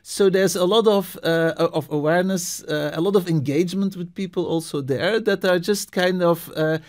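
A middle-aged man from Belgium, a marketing professor, talking casually as a guest and telling animated stories with many restarts. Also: high voice, moderate pace. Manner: animated storyteller, many restarts and repeated words. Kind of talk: casual interview talk.

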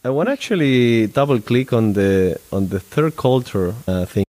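A man's voice: mid-range voice, animated, normal speed, darkish tone, dry recording. A man hosting a conversational interview, easygoing, guiding the talk and prompting with short affirmations. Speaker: host.

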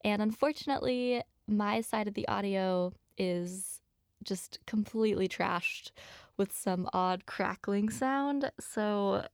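Woman podcast host, casual intro read, light fry in the voice. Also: mid-range voice, slow, no room sound, clean studio sound.

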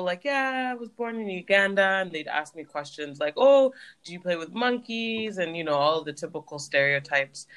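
A woman's voice: low voice, fairly bright tone. Reflective woman. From Canada. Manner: reflective, uses many fillers like um and like. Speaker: podcast co-host. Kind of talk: personal storytelling in casual chat.

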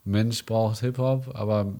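A man's voice: lowish voice, steady tone, slow, dark tone. A man co-hosting a casual podcast, laid-back, often answering with short agreements. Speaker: podcast co-host. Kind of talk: casual chat.

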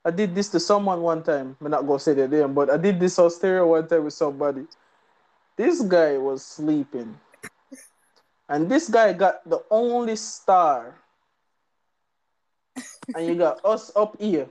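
A man's voice: a little high, measured pace, pauses often, darkish tone. A man telling a funny story in casual chat on a live audio show, laughing often. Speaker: co-host.

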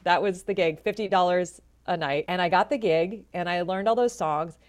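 A woman in her forties with a low voice, telling her story in a chatty, animated way as an interview guest. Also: moderate pace. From Florida, USA. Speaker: jazz vocalist and educator, guest.

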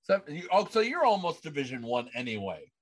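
A man's voice: on the high side, very animated, brisk. A man hosting a casual gaming podcast, putting questions to his guest in a conversational style. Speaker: podcast host.

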